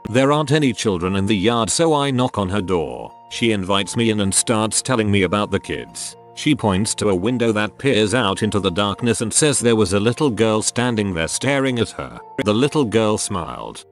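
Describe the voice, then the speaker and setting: A man's voice: lowish voice, lively intonation, moderate pace, few pauses, soft background music. A man narrating written online stories as scripted narration, reading them out as a narrator.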